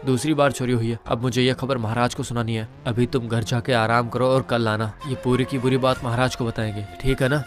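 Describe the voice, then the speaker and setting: A man's voice: medium pitch, little pitch movement, a little fast, few pauses, faint music behind, natural voice. A man voicing a kindly older master and father in a cartoon, fretful and concerned. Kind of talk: Hindi moral-story cartoon dialogue.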